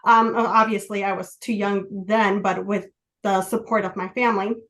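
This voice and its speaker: A woman in her forties from Chicago, a native Spanish speaker, telling personal stories as a podcast guest in an earnest, halting way with many fillers. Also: mid-range voice, little pitch movement, a bit bright. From Chicago, USA. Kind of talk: casual interview, personal storytelling.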